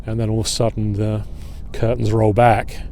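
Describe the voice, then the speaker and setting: A middle-aged man leading an outdoor history tour at a waterfront fort. He talks in a relaxed, halting way with many filler pauses. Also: fairly low pitch, a little hiss or hum. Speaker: historian and battlefield guide.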